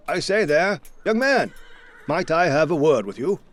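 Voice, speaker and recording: Genial, flustered gentleman companion. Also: a little high, very animated, slow pace, heavily acted. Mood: bumbling, polite. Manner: polite, hesitant, earnest. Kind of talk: audio drama acting.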